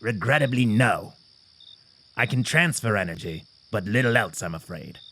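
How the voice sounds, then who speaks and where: An actor playing a composed, courteous otherworldly herald in a fantasy audio drama, speaking in a measured, formal manner. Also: male voice, lowish voice, lively intonation, fairly bright tone, long reverb tail, slight background noise.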